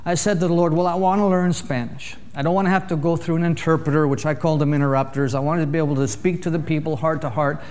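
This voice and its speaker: A joking preacher man, higher voice. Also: quick pace, hardly any pauses. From Canada. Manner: jokey, lively storyteller addressing a congregation. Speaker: visiting preacher. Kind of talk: sermon with humorous storytelling.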